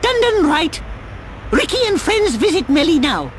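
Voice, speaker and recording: A woman's voice: very high-pitched, sing-song, normal speed, a little hiss or hum. A woman voicing a small, cheerful, childlike male creature in a video game, speaking earnestly in simple broken grammar. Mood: cheerful, eager.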